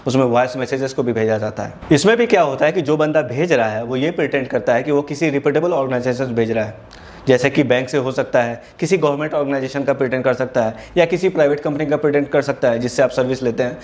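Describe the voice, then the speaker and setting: A man's voice: mid-range voice, a little mellow. An Indian-accented man presenting an educational tech video, explaining things step by step and mixing in English terms. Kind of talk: explanatory tutorial narration.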